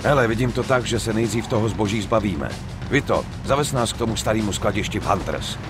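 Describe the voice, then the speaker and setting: Man as a low-voiced, self-assured gangster. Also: over music, natural voice. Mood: calm, persuasive. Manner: blunt, slangy, self-assured. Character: level-headed, persuasive male gangster running a drug deal. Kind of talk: dubbed video game acting.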